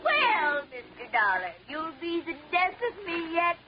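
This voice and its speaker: An actress playing a frantic eighty-two-year-old widow in 1940s radio drama, her voice shrill and wavering, swinging between mockery and pleading. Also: very animated, measured pace, over music, exaggerated voice. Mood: mocking. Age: older. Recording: dull old radio recording with hiss.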